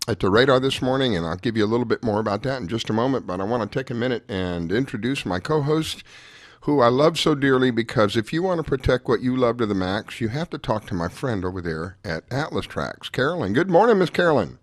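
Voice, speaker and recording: A man hosting a talk radio show, hoarse after a late night, chatting in a rambling way with many restarts. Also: mid-range voice, animated, fast talker, dry recording, clean studio sound. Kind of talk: casual talk-radio chat.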